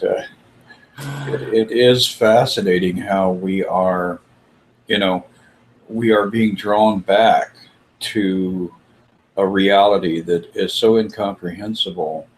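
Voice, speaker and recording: Slow, deep-voiced man musing on spirit. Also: a little hiss or hum, rough recording. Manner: slow, musing, with many "uh" pauses. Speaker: spiritual discussion host. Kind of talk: reflective spiritual discussion.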